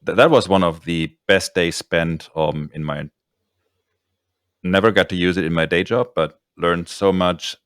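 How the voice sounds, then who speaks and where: A man's voice: sits low, measured pace, a bit bright, clear, resonant tone, no room sound, clean studio sound. A man hosting a podcast interview, chatting casually and rambling through his points. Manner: rambling, with many ums and restarts. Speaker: podcast host.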